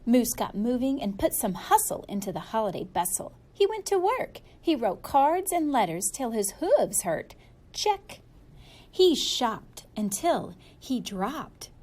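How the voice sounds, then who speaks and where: Female storybook reader, very lively intonation, slow and playful delivery for young listeners. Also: on the high side. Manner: animated, playful, drawn-out emphasis. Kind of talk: expressive children's story read-aloud.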